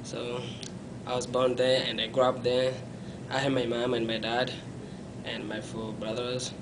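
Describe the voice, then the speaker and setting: A young man, telling his life story in an interview in a small room, halting and often correcting himself. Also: mid-range voice, little pitch movement, background noise. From Congo. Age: young adult. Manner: halting, self-correcting, non-native phrasing; gestures with his hands while talking.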